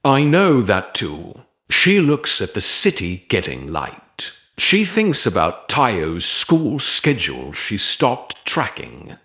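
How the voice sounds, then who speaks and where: A man narrating a scripted romance story in a deliberate, dramatic way with short measured phrases. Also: fairly low pitch, pushed and bright.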